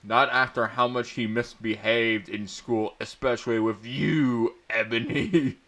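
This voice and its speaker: A mean old wizard headmaster acted out by a man in a comic story reading. He is irritable and curt, speaking slowly and with scorn. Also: mid-range voice, fairly even intonation, a bit bright.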